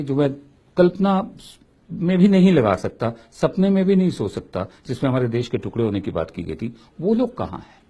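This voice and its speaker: A man's voice: medium pitch, darkish tone, slightly rough. A man giving opinion commentary as a political commentator, emphatic and full of rhetorical questions.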